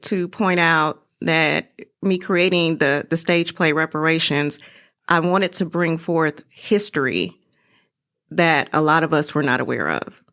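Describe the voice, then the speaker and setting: A middle-aged woman chatting casually as an interview guest, telling her story in an animated way. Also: sits low, measured pace.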